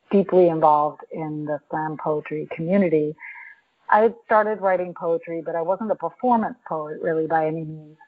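A woman from New York talks through a personal memory on a podcast. She is reflective and halting, often restarting her sentences. Her voice sits low and comes through a narrow, tinny phone line. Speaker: podcast guest. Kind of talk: personal storytelling.

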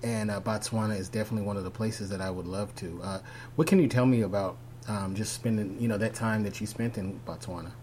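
A man's voice: lowish voice, fairly even intonation, fast, a little mellow. A man hosting an online interview show, asking questions in a hesitant way full of fillers. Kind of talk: casual interview. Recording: faint hum under the voice.

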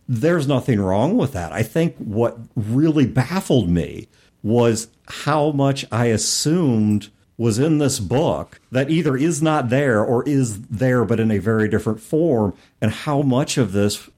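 A man's voice: medium pitch, a little mellow. A man hosting a podcast, talking casually and reflectively in a self-deprecating way. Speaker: podcast host. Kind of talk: casual tabletop gaming podcast talk.

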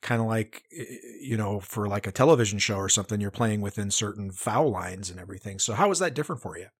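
Low-pitched male podcast host. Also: animated, fast, breathy edge. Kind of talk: conversational interview.

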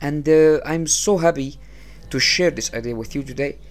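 A man from Egypt who is not an English speaker hosts a podcast, giving a motivational religious talk with plain, repeated phrases. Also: a little high. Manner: unhurried, repeats phrases, non-native English. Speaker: podcast host. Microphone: small low-cost podcast setup.

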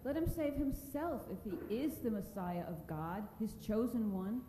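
A woman's voice: fairly high pitch, very animated, unhurried. A woman playing a scornful, scoffing religious leader in a dramatic scripture reading. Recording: very echoey hall, noisy, distant.

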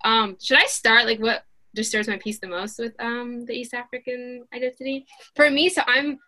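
A young woman from Somalia co-hosting a casual podcast chat, animated and quick. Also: fairly high pitch. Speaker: podcast co-host.

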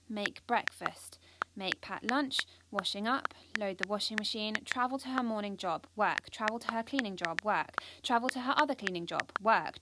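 A woman's voice: medium pitch, noisy background, lightly acted. A woman playing an earnest, driven and anxious schoolgirl who narrates an audio drama in an intense, precise way. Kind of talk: first-person audio drama monologue.

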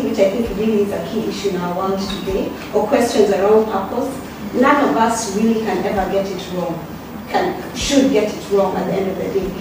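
A woman from South Sudan on a church women's ministry team speaks thoughtfully, often adding "you know". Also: mid-range voice. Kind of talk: reflective church discussion. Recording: echoey room from a distance, noisy.

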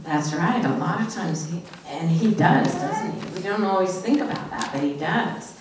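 A woman's voice: fairly low pitch, lively intonation, moderate pace. A woman leading a children's message in church, talking informally and simply to children. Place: church, indoors. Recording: distant, very echoey, noisy church recording.

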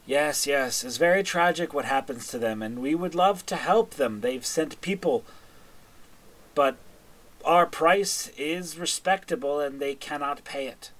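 A man voicing a sweet, doting, motherly witch sister who welcomes guests gently. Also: fairly high pitch, a little slow, poor audio.

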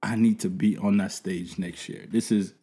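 A young man with a deep voice, a host and podcaster telling stories about his work in relaxed, rambling talk full of slang. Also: normal speed. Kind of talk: casual podcast conversation.

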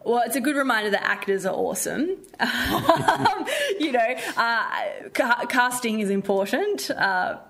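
A woman's voice: on the high side, lively intonation, measured pace, hardly any pauses, a bit bright. A woman who works as a theatre director, talking conversationally and thoughtfully as an interview guest.

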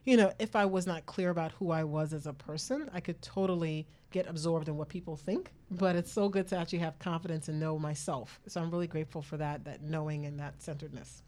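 A woman's voice: low-pitched, fairly quick, few pauses, warm tone. A woman hosting a talk radio show, chatting casually with co-hosts and listeners.

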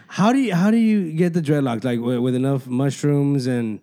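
A man's voice: medium pitch, a crowd in the background. A man hosting a casual chat, cracking crude jokes and jumping in with short remarks. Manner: joking, crude banter, quick interjections.

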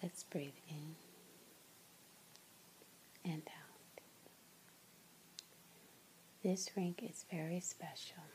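A woman guides a meditation in a soft, breathy voice that is mostly whispered. Her delivery is extremely slow and gentle, with long pauses between short phrases. The tone is mellow and soothing, over faint ambient noise. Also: low-pitched, steady tone, low-quality recording.

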